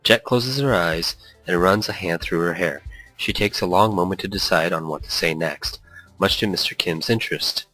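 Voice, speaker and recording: A man's voice: sits low, normal speed, bright, forceful tone, no echo, rough recording. A man hosting a let's play, reading a visual novel aloud with playful, goofy asides. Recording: faint music behind the voice. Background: faint background music.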